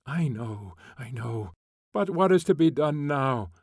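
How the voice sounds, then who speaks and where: A male reader voicing a remorseful gentleman prince who pleads earnestly for forgiveness. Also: mid-range voice, expressive, takes it slowly, creaky, slightly rough, dry recording, clean studio sound, heavily acted. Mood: pleading.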